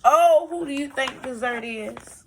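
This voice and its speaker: A woman reading a storybook aloud to young children as a teacher, pausing to explain things in simple phrases. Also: high-pitched, slight background noise. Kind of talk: expressive storybook read-aloud with asides.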